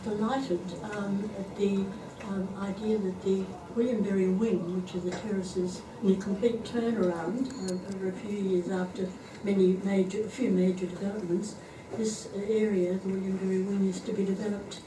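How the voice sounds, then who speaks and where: A soft-spoken woman from a charitable trust presenting a cheque at an evening function, halting with fillers. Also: mid-range voice, steady tone. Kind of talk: formal presentation speech. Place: function room at an evening party. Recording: echoey room, far-off mic, background noise.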